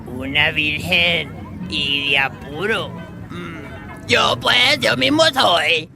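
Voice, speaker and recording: An actor playing a cheeky, jovial rogue in a radio drama, teasing and playful, with an Ecuadorian accent from Quito. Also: male voice, a little high, drawn-out words, gravelly, music in the background, full character voice. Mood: mock eager.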